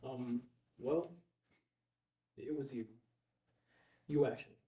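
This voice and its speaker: A man's voice: mid-range voice, steady tone, takes it slowly, warm tone, natural voice. A man playing a nervous, deferential young reporter in a film table read, hesitant and awkward. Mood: nervous, admiring. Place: room at a filmed table read. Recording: roomy, distant microphone.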